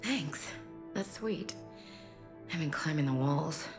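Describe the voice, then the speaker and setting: A woman's voice: deep voice, lively intonation, slow, faint music behind, exaggerated voice. A woman playing a guarded, frank soldier with a wry streak in a video game.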